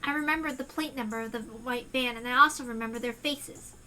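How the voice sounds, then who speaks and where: A woman voicing a paranoid teenage girl narrator, earnestly sure she is being hunted, her voice hoarse. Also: on the high side, steady tone, brisk, bright, forceful tone, a little hiss or hum. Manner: earnest, tense conviction.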